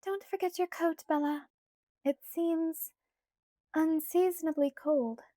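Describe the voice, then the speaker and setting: Bubbly, wheedling young female vampire, read by a woman, high and bright, dry studio. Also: fairly even intonation, slow, dry recording. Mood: chirpy. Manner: wheedling, sing-song, eager. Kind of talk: audiobook character voice.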